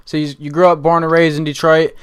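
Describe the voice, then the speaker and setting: A man in his twenties hosting a relaxed interview show, easygoing and rambling with lots of fillers. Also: fairly high pitch, quick pace, clean tone. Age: 20s. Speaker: podcast host. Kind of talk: casual interview chat.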